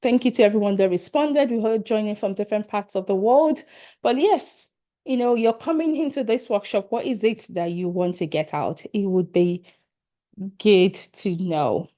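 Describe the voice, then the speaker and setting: A woman's voice: medium pitch, normal speed, warm tone, lo-fi sound. A middle-aged woman with a Nigerian accent, a leadership coach giving an online workshop over a video call, earnest and encouraging as she speaks straight to attendees.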